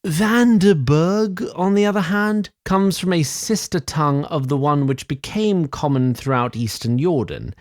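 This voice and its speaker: A man's voice: a little high, measured pace, few pauses, no echo, studio quality, close to an everyday voice. A man playing a chatty tree sprite narrator of a fantasy audio drama, formal and wry with fussy asides. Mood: exasperated aside. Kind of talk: theatrical scripted fantasy narration.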